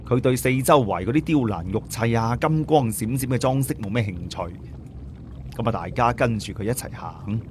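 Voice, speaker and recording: A man's voice: medium pitch, normal speed, a little mellow, a little hiss or hum. A man with a Cantonese accent narrating an audiobook story in a dramatic, scripted storytelling style.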